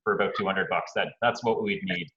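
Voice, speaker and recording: A man's voice: deep voice, fairly quick, sharp tone. A man from Canada hosting a casual video meeting, easygoing and full of fillers and restarts. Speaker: meeting host. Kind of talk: casual video-call chat. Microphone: video call. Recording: lo-fi echoey video call.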